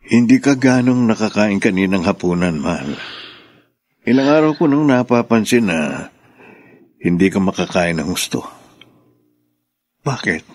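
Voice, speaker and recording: A protective, forgiving husband in a radio drama. His deep, husky voice is tender and reassuring, with lively swings of pitch. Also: unhurried, long pauses. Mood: concerned. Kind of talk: Filipino radio drama acting.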